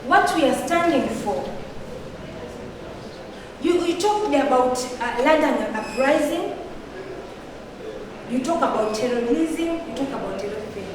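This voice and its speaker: A woman's voice: sits high, animated, unhurried, long pauses, background noise. A teenage girl from Tanzania, a Swahili speaker, giving an earnest, argumentative debate speech full of rhetorical questions. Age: teens. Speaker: student debater. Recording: echoey hall, picked up from far off.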